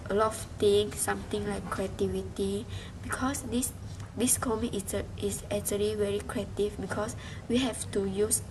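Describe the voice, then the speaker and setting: A young Malaysian woman, a university student, giving a halting spoken reflection with many restarts and fillers. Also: mid-range voice. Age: young adult. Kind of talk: spoken class reflection in a second language. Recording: noisy background hiss.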